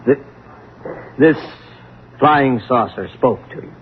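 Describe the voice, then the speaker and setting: A man plays a skeptical, gruff police sergeant in an old radio drama. He is brusque and sardonic, heard through a narrow, tinny band with faint noise. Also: mid-range voice, slow pace, lightly acted. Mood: skeptical questioning. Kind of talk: vintage radio drama acting. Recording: tinny, noisy vintage radio recording.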